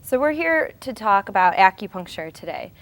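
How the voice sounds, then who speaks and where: Clear-voiced American woman hosting. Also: medium pitch, very animated, normal speed. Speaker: show host, acupuncturist. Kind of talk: informal educational presentation.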